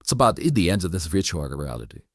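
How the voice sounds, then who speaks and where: A man hosting a casual interview, halting as he interjects with questions and ideas. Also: sits low, very animated, dry recording, clean studio sound. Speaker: podcast host.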